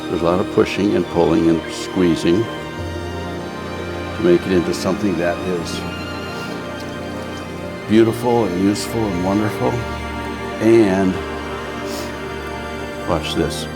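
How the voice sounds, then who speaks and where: Older man, deep and unhurried, from Japan; hesitant life-story interview over soft music. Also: steady tone. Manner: hesitant, many ums and restarts. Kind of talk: reflective personal storytelling.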